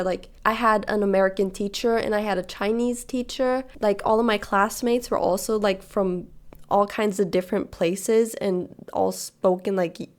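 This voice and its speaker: A woman's voice: medium pitch, a little mellow. A nineteen-year-old woman from Sweden who grew up in Hong Kong, chatting casually and rambling over gameplay as she talks straight to her viewers. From Sweden, raised in Hong Kong. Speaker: gaming video creator.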